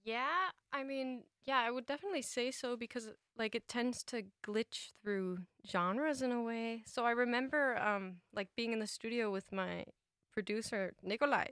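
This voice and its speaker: A woman's voice: on the high side, normal speed, a bit bright, smooth, no room sound. Young woman musician being interviewed, super casual, says like a lot, dry studio sound. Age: young adult. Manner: informal, filler-heavy with "like", quotes her own thoughts. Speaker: musician guest. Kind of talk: casual chat. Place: radio studio.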